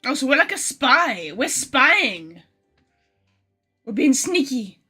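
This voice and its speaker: A woman's voice: high voice, very animated, slow, pushed and bright, slightly rough, soft background music. A woman chatting casually as a live game streamer, informal and sweary, joking at her own expense. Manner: sweary, self-deprecating, informal. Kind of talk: casual live gameplay chat, reading some lines aloud. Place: bedroom.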